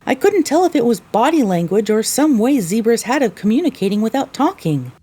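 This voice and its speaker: Woman, story narrator, high-pitched, soft mellow tone, animated intonation, scripted first-person narration. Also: brisk, slightly rough.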